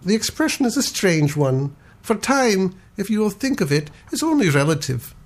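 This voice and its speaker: A man voicing a calm, philosophical sea captain who reasons in a gentle, lofty manner. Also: sits high, normal speed, pitched above the performer's own voice. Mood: musing.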